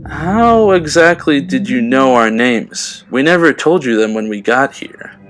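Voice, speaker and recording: A man's voice: mid-range voice, lively intonation, measured pace, fairly bright tone, a little hiss or hum. A man playing an uneasy, brooding teenage superhero in an audio drama, with a casual, hesitant way of talking. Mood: suspicious, hesitant.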